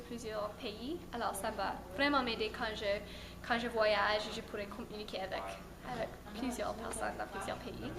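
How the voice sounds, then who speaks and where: A woman's voice: fairly high pitch, roomy sound. A young woman gives a hesitant, unscripted testimonial, using many fillers. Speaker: former immersion student.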